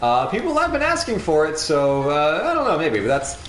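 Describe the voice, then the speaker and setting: Halting young man streaming in an echoey room. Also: on the high side, huge pitch swings, a bit bright. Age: young adult. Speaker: host of a gaming livestream. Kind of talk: casual chat while playing a game. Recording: roomy echo, faint background noise.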